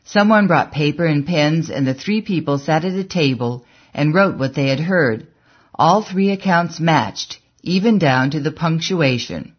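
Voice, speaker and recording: An audiobook narrator reading a story in a measured, even way, on a dull, lo-fi recording. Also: mid-range voice, breathy edge. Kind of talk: scripted narration.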